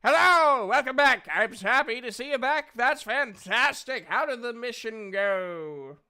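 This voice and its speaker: A game master voicing a cheerful, excitable machine character in an exuberant, gushing manner. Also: mid-range voice, unhurried, clear, resonant tone, pitched above the performer's own voice. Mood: gleeful welcome.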